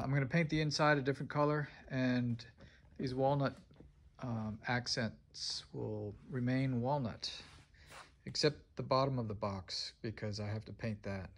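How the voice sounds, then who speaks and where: A middle-aged man casually narrating his boat build in a woodworking shop, thinking aloud with plenty of fillers, recorded on a handheld phone. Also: medium pitch. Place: large indoor woodworking shop.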